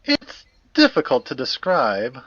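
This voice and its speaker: A fussy academic gentleman acted by a man speaks with ornate, courtly politeness and very animated pitch. He sounds slightly frayed, in an audio drama recorded dry and a little muffled. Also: a little slow, no echo. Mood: puzzled, precise. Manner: formal, wordy, old-fashioned politeness.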